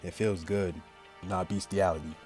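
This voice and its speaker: Very low-voiced man reading a visual novel. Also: steady tone, normal speed. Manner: slangy, jokey commentary. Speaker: gaming YouTuber. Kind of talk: visual novel let's play, reading aloud with joking asides. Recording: music behind the voice. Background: game music.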